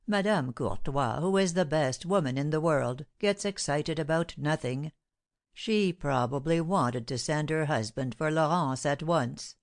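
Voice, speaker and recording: A woman's voice: low voice, no echo, clean studio sound. A woman reading aloud voices an anxious old male justice of the peace. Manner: hurried, worried reassurance.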